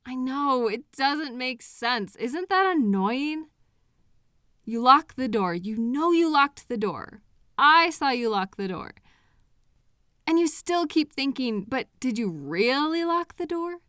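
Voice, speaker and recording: A woman's voice: sits high, very animated, sharp tone, dry recording, heavily acted. A woman acts as a devoted, patient girlfriend in an intimate audio roleplay. She takes her time over each phrase, tender and reassuring as she comforts an anxious partner. The sound is very dry and close, with no room around the voice. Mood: tender, sympathetic, then sympathetic, indignant. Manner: tender, reassuring, unhurried pauses with sighs.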